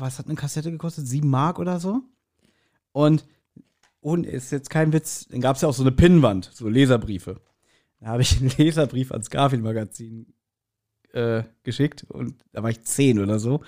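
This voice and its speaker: A man's voice: mid-range voice, moderate pace, darkish tone. A man, chatting casually and telling nostalgic anecdotes in a blunt, joking way as a podcast host.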